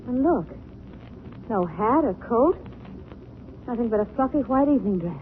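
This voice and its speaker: Woman acting lively radio heroine, noisy old broadcast. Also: fairly high pitch, normal speed, natural voice. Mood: alarmed, concerned. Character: Margot Lane, lovely companion of a crime fighter, chatty and easily alarmed. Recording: noisy, narrow-band 1940s radio recording.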